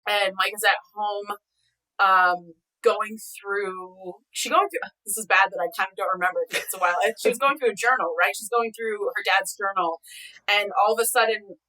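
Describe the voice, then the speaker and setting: A woman's voice: medium pitch, normal speed, bright, forceful tone. A woman chatting casually as an interview guest, a podcast creator on a video call. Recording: slightly distant video call mic.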